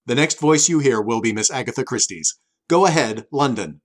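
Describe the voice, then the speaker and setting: A man's voice: mid-range voice, expressive, normal speed. A man announcing a scripted program in a grandiose, formal, dramatic 1940s radio style. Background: music.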